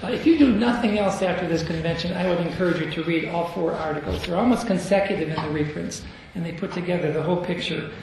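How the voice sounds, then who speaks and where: A man's voice: a little high, quick pace, creaky, hardly any pauses, background noise. A man leading a group Bible study at a convention, asking the group questions and chuckling often, recorded far off in an echoey room.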